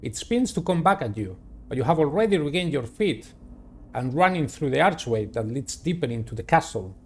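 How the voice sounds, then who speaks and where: A man hosting a game playthrough, reading the story aloud in a dramatic, deliberate way and adding his own commentary. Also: a little high, animated, slight background noise. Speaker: gaming video host. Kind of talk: reading visual novel narration aloud with personal commentary.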